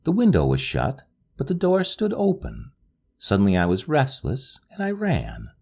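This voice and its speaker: A man reading a literary story aloud in a slow, solemn, dreamlike way. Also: huge pitch swings, creaky, warm tone. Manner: slow, solemn, dreamlike delivery with wide swings in pitch. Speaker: audiobook narrator.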